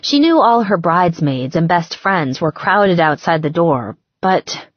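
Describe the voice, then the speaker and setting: A woman narrating a romance audiobook in an expressive, measured storytelling manner. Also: fairly low pitch, dry recording, highs cut off. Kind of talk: scripted romance fiction narration.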